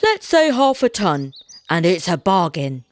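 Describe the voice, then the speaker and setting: A woman's voice: lowish voice, sing-song, a little slow, no room sound. Woman as a crafty, food-loving woodman. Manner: confiding, wheedling. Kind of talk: storybook character voice.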